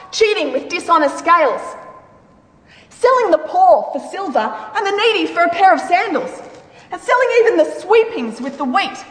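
A woman giving a solemn, declamatory dramatic reading of scripture with emphatic delivery. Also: high-pitched. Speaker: reader in a church dramatic reading. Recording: echoey hall with long reverb.

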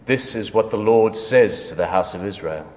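A man's voice: fairly low pitch, normal speed, darkish tone, natural voice. Man performing stern God's prophet in echoing hall. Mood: mournful, urgent. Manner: solemn, declamatory, emphatic. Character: stern, wrathful God of Israel speaking through a prophet. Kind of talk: dramatic scripture reading. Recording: echoey hall with long reverb.

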